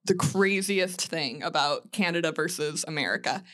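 Woman in casual, animated chat. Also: sits low, moderate pace.

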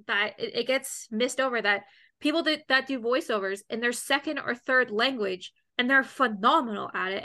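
A woman hosting a casual interview, chatty and expressive, often repeating words as she starts a thought. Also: on the high side. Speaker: host.

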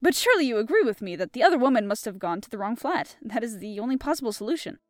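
A woman's voice: on the high side, sing-song, racing, no room sound, clean studio sound, soft background music. A woman reading an earnest young captain. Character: earnest, eager-to-impress male army captain.

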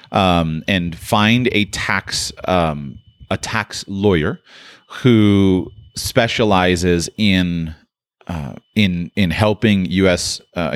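A man hosting a call-in advice show, giving advice out loud with frequent restarts and fillers. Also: deep voice, no room sound. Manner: thinks aloud with many restarts and fillers. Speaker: radio show host.

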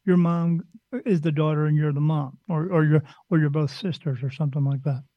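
A man's voice: a little high, steady tone, a little fast, no echo, highs cut off. A man who works as a spirit medium, talking casually on a podcast in a blunt, rambling way and slipping into other people's voices.

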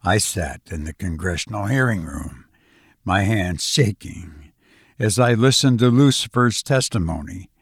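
A man narrates an audiobook with a creaky, gravelly voice. His reading is scripted and dramatic, unhurried and deliberate, with a very dry, close sound. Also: on the low side, expressive, no room sound.

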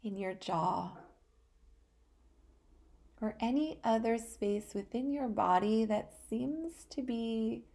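A woman hosting a guided relaxation meditation, speaking calmly and soothingly in short phrases with long pauses. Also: on the high side, vocal fry. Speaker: guided meditation podcast host.

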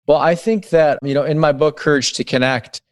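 A man's voice: a little high, fairly even intonation, fairly quick, no room sound, studio quality. A man in his late thirties, a guest author talking through ideas in a podcast interview, with frequent restarts as he thinks aloud. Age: 30s. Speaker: guest author on digital wellness.

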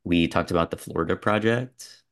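A man's voice: low-pitched, normal speed, clear, resonant tone. A man hosting a podcast, talking through films in a casual, reflective and discursive way among friends. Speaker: podcast host.

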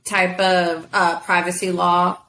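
A woman's voice: lowish voice, flat delivery, slow, sharp tone, slight background noise. Chatty woman, Spanish first language. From United States. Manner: chatty, many fillers, laughs easily. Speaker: guest. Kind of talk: casual interview.

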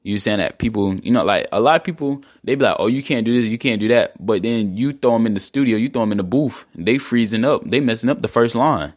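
A man's voice: medium pitch, quick pace, few pauses. A man in his early twenties, a guest on a podcast, talking in a laid-back, slangy way. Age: 20s. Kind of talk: casual chat.

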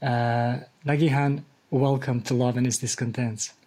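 A man's voice: medium pitch, flat delivery, measured pace, a little mellow. Man hosting a podcast, hesitant delivery. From Bosnia. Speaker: podcast host. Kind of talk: conversational interview.

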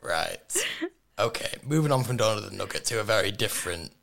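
Hoarse low-voiced man hosting radio. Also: animated, a little fast, fairly bright tone. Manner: laughs often, teasing. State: hoarse, lost voice. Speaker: radio host. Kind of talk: casual radio chat. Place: radio studio. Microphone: studio microphone.

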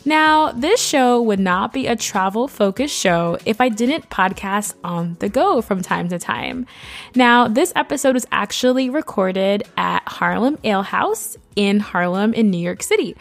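A woman's voice: mid-range voice, lively intonation, a little slow, gravelly. A woman hosting a travel and money podcast, interviewing a guest in an upbeat, enthusiastic way while recording in a bar. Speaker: podcast host.

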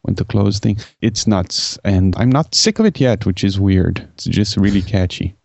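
A man's voice: lowish voice, moderate pace, vocal fry, a little mellow, no room sound. A Brazilian-born man, a non-native English speaker, chatting as a podcast guest in an upbeat, self-deprecating way. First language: Portuguese. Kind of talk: casual interview talk.

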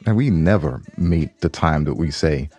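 A man's voice: a bass voice, animated, moderate pace, warm tone, slightly rough, highs cut off, soft background music. A man co-hosting a podcast in casual banter, protesting and repeating himself for emphasis. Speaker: podcast co-host.

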